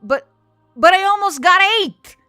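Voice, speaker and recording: A woman acts out a perky female adventurer in an audio story. She is exclamatory and playfully dramatic, with lively, swinging intonation. Music sits softly behind the voice. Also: very high voice, unhurried, no echo, pitched above the performer's own voice, exaggerated voice. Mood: indignant protest. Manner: chirpy, exclamatory. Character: cheerful, chirpy female adventurer, playfully indignant.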